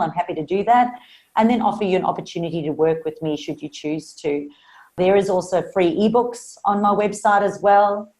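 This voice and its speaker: A woman's voice: lowish voice, a little mellow. A woman with a South African Australian accent, a marketing coach speaking as a guest in a podcast interview, earnest and upbeat.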